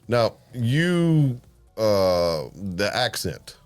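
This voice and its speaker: A man hosting a podcast interview, easygoing and quick with enthusiastic reactions. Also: lowish voice, very animated, soft background music. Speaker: podcast host. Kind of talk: casual interview.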